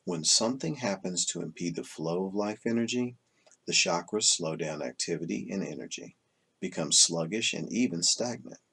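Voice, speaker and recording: Very deep, gravelly male book narrator. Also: normal speed, fairly bright tone. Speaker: audiobook reader. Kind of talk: scripted narration, reading a self-help book aloud.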